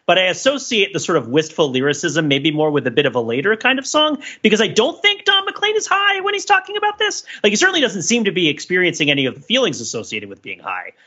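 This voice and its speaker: Middle-aged male podcast co-host, bright, husky edge, rambling and wry, slightly muffled recording. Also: sits high, very animated, fast, hardly any pauses. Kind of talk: casual comic-analytical chat.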